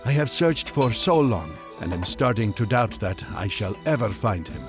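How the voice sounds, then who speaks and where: Devout weary magian traveler, lowish voice, solemn n courteous, expressive, audio drama. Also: male voice, music in the background. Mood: earnest, weary. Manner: formal, solemn, measured.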